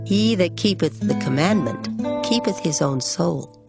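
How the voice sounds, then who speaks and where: A woman with a fairly low voice narrates scripture in a dramatized style. She is measured and reverent, yet her intonation is lively. Plucked guitar music is clearly heard behind her. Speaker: Bible reader.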